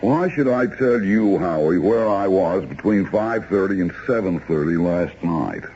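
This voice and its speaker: A man's voice: sits low, expressive, a little slow, dark tone. An actor playing an indignant, haughty male attorney in a 1970s radio drama, curt, precise and defensive. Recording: dull, noisy old radio recording.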